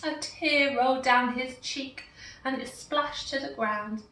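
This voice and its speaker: Woman reading a picture book aloud, slow and animated, bright voice, echoey room far from mic. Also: sits high. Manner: slow, expressive, warmly animated. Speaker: storyteller.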